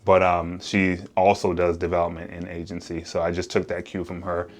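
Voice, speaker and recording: American male, low-pitched voice, real estate developer presenting his working day in a casual vlog register. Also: monotone, moderate pace. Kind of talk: casual explanatory vlog talk.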